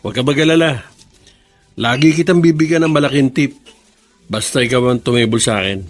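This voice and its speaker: An actor playing a bossy construction engineer with a Filipino accent in a radio drama. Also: male voice, mid-range voice, fairly quick, lightly acted. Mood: smooth, flirtatious. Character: bossy, short-tempered male construction engineer, smooth with women. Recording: music behind the voice.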